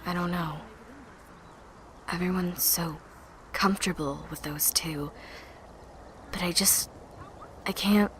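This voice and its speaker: A woman playing a withdrawn, uneasy young survivor in an apocalypse audio drama, speaking in a hesitant, hushed way. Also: fairly low pitch, fairly even intonation, slow, long pauses. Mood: uneasy, suspicious. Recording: clearly noisy amateur recording with ambience.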